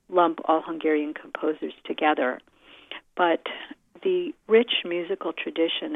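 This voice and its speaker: A middle-aged American woman giving reflective interview answers in a measured, deliberate way, pausing often as she thinks. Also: low-pitched, no echo. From United States. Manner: measured, deliberate, with frequent pauses. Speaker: interviewee, concert pianist. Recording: muffled narrow-band phone or radio-like sound.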